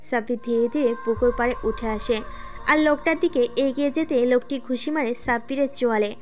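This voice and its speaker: A woman's voice: a little high, fairly quick, faint music behind. A woman reading scripted story narration as the narrator of an audio tale.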